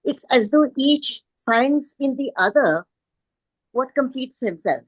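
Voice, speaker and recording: A woman's voice: high voice, lively intonation, slow, no room sound, poor audio. Tentative, polite woman in a dry close sound. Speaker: book club participant. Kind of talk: thoughtful discussion commentary.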